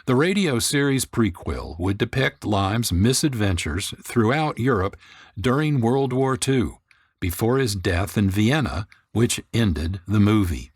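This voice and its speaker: A man's voice: lowish voice, animated, measured pace, husky, no room sound, clean studio sound. A man hosting a radio programme with scripted narration. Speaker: host.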